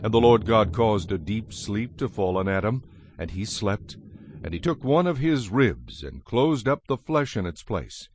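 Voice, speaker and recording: A man narrating dramatized scripture in a solemn, measured manner. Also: lowish voice, lively intonation, a little hiss or hum. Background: music.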